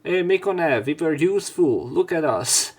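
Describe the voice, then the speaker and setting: A man's voice: a little high, animated, normal speed, slightly rough. A man reading a visual novel's text aloud, breaking off now and then for casual, jokey commentary. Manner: casual, jokey asides between read lines. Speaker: let's play narrator.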